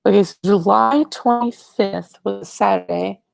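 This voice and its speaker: A teenage boy hosting a casual livestream, rambling and correcting himself as he chats to viewers, his voice raspy today. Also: high-pitched, measured pace, no echo. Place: living room, indoors. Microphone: earbud headset mic, webcam.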